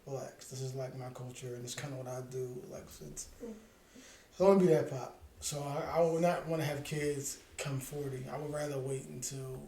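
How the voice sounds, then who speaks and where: Laid-back joking man in his thirties, mellow voice. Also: medium pitch, steady tone, fairly quick. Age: 30s. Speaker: podcast co-host. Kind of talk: casual chat. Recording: echoey room with faint ambient noise.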